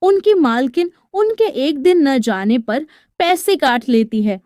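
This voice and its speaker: A woman's voice: high voice, lively intonation, slow pace, a little mellow, no room sound, studio quality. A woman voicing a brazen, evasive housemaid wife in a dramatised story, retorting dismissively. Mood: scornful. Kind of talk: dramatised Hindi moral storytelling.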